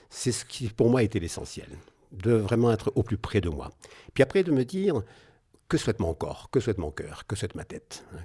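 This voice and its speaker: Older male guest in his sixties, low husky voice, thoughtful and halting. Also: warm tone. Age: 60s. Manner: reflective, hesitant, with frequent fillers. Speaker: guest, singer and naturopath. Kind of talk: radio interview.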